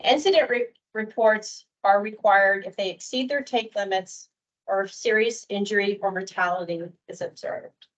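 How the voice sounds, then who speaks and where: A woman giving a measured, detailed presentation on permit rules at a workshop. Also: medium pitch, rough recording. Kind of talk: prepared presentation.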